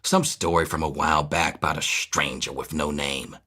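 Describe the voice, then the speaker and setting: A man's voice: a bass voice, steady tone, normal speed, sharp tone, no room sound, studio quality, exaggerated voice. A man playing a blunt, impatient, hard-bitten mercenary in a video game audio log. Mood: irritated, uneasy. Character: gruff hard-bitten male wasteland mercenary giving orders.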